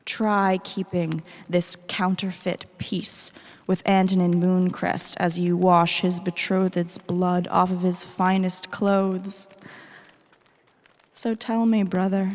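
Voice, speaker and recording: An actress playing a fierce, embittered noblewoman with a deliberate, formal, threatening delivery in a fantasy audio drama. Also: lowish voice, level tone, darkish tone. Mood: bitter threatening. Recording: long hall reverb.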